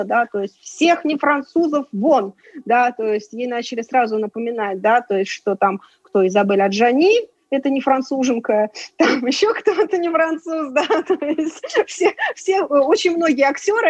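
A woman's voice: a little high, a little mellow, no echo, highs cut off. A middle-aged woman from Russia with a Russian accent, chatting as an interview guest and tour guide in a casual, talkative way.

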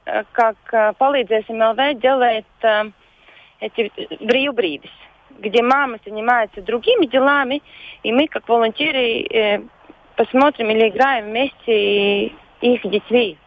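A woman with a Latvian accent, whose first language is Latvian, speaks as a guest on a radio show by phone. She talks hesitantly and often pauses to search for words. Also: a little high, fairly even intonation. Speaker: volunteer guest. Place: phone call into a radio studio. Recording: dull lo-fi phone line.

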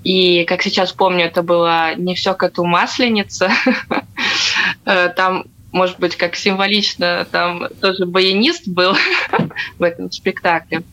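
A young woman from Latvia telling her own story casually as an interview guest, with frequent hesitant pauses. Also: fairly low pitch, little pitch movement, a bit bright, poor audio. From Riga, Latvia. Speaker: guest, theatre accordionist.

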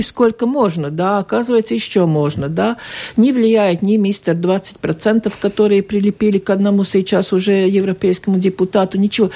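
Woman on a radio panel, warm mellow voice, talks fast n keeps saying right?. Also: medium pitch. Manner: animated, conversational, keeps adding "right?". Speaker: studio discussion guest.

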